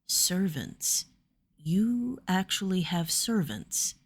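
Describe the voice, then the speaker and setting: Woman putting on a low, weary young warrior man. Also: very animated, very slow, slightly rough, heavily acted. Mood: curious. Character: tired, stiff young male warrior, kindly and steady.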